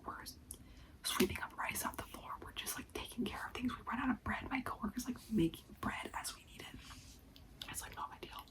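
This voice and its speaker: Whispering young woman, higher pitch, chatty, exasperated. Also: expressive, brisk, poor audio. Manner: whispering throughout, frequent "like" fillers; exasperated venting. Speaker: part-time food service worker. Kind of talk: whispered storytime.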